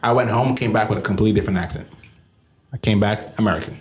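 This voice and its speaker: A man's voice: lowish voice, very fast. A young man from Guyana telling a story on a casual podcast, acting out quotes as he goes. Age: young adult. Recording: roomy with faint noise.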